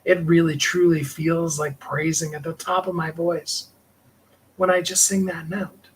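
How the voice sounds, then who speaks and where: A middle-aged man hosts his own livestream, and his speaking voice sits at a light tenor that runs a little high for a man. He talks in a rambling, heartfelt way, with plenty of "you know" and quick small laughs. A faint hum of room ambience sits under him. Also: level tone, poor audio. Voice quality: higher-pitched tenor voice. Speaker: livestream host and singer. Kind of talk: reflective personal livestream talk.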